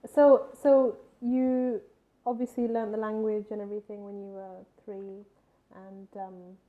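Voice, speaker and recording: A woman's voice: mid-range voice, level tone, a little slow. A woman hosting a talk show and interviewing a guest with friendly, curious questions. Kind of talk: interview chat. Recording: tinny phone-like sound.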